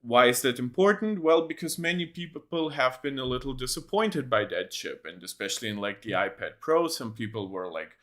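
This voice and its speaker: A man's voice: medium pitch, animated, moderate pace, few pauses, fairly bright tone. A young man hosting a casual tech reaction livestream from a home setup on a desk mic, rambling with many ums and restarts.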